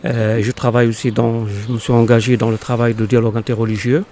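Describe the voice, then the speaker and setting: A man in his fifties from Morocco, whose first language is Arabic, speaking slowly in an interview with many pauses and fillers. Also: mid-range voice, level tone, dark tone, a little hiss or hum. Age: 50s. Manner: halting, many fillers and restarts. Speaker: interviewed poet. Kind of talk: hesitant conversational interview. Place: local podcast studio.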